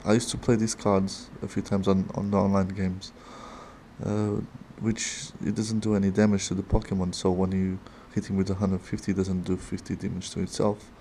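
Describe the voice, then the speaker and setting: A man with a non-native accent opening trading cards at a desk, talking casually and haltingly with small laughs. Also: deep voice, flat delivery, warm tone, slight background noise. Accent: non-native English. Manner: slow, halting, chatty with little laughs. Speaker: card-opening channel host. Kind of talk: casual card-opening commentary. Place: desk in a dim room.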